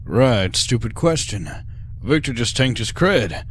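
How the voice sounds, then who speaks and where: A man playing a cocky, folksy veteran space convict in an audio drama, smooth-talking and condescending. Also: mid-range voice, sing-song, slow pace, a little hiss or hum. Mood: wry, smug.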